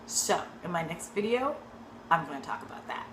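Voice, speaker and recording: A woman acting coach giving an energetic talk to actors, often checking in with "right?". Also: fairly low pitch, moderate pace, noisy background. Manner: energetic, keeps asking "right?". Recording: echoey room with faint ambience.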